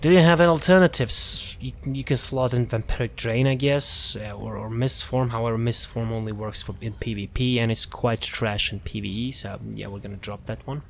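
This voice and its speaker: A man's voice: mid-range voice, fairly even intonation, moderate pace, creaky, hardly any pauses, slight background noise. A man hosting a gaming video, talking casually through a character build in a rambling, self-interrupting way and often trailing off. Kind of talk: casual game build walkthrough commentary.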